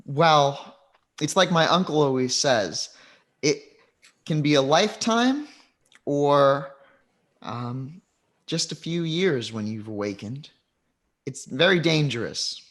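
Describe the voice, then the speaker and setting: A man's voice: a little high, expressive, slow pace, long pauses, fairly bright tone. A man voicing a sheltered young woman from a mage family who talks earnestly and a little anxiously.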